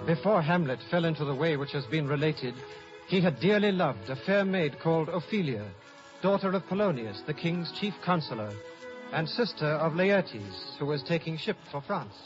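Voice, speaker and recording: A man's voice: on the high side, expressive, moderate pace, over music, close to an everyday voice. A man narrating a 1950s radio drama in a formal, scripted manner. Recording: muffled old radio broadcast.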